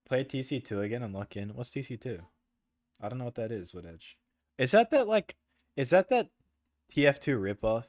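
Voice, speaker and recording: A young man streaming and chatting loosely with viewers, rambling with lots of "like" and "bro" and frequent laughter, in a soft voice. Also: medium pitch, sing-song, normal speed, no room sound. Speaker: livestreamer. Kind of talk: casual chat with stream viewers.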